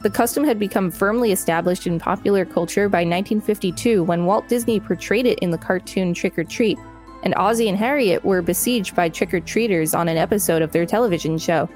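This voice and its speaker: A woman's voice: medium pitch, a little fast, a little mellow, soft background music. A woman co-hosting a podcast, reading an encyclopedia article aloud and adding casual commentary. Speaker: podcast co-host.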